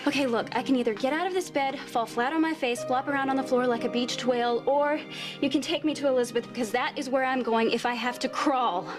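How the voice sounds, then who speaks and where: Young woman actress as plucky stubborn soap patient, kinda high voice, earnest, music behind her. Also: fast talker, lightly acted. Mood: determined. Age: young adult. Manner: earnest, insistent. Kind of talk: TV soap opera acting.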